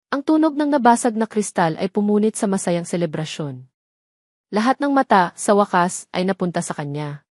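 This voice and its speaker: A woman narrates a dramatic story with solemn, measured phrasing. Her voice sits at an ordinary middle pitch. The sound is dry and close, with no room around it. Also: a little mellow, no room sound.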